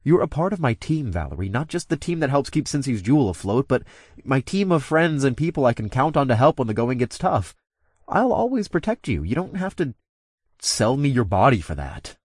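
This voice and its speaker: A man's voice: medium pitch, very animated, brisk, slightly rough, dry recording, clean studio sound, voice pushed up. A man voicing a protective, caring male werepanther with an earnest, firm manner in an audiobook. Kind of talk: acted audiobook dialogue.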